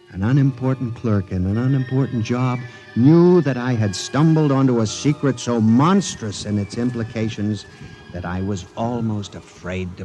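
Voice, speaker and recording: A man's voice: mid-range voice, warm tone, natural voice. Guy playing a scared obsessed clerk telling his nightmare, old 50s radio drama style, very intense and formal, music under it. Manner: intense, earnest, formal, theatrical. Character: obsessed, fearful male office clerk recounting a nightmare. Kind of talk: 1950s radio drama acting, dramatic first-person narration. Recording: 1950s radio broadcast with music behind.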